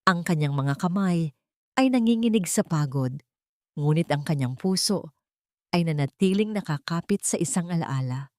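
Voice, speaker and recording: A female narrator tells a scripted dramatic story. Her pitch is a little low for a woman. The recording is dry, with no room sound. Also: animated, moderate pace, darkish tone, no echo.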